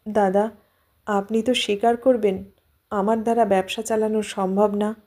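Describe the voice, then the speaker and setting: A woman's voice: medium pitch, flat delivery, moderate pace, mellow, natural voice. A woman voicing a young widowed sister-in-law, dignified, resolute and full of grief, in an audio story. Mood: respectful, sober.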